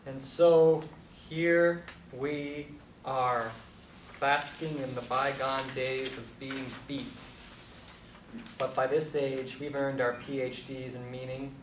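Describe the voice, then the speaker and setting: Picked up from a distance in a roomy space, a man plays an aging Beat intellectual on stage. He is blunt and irreverent, philosophizing deliberately in a mid-range voice. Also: medium pitch, level tone, lightly acted. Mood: pontificating. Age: older. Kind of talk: stage play dialogue. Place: live stage performance recorded from a distance. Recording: echoey, distant mic, faint noise.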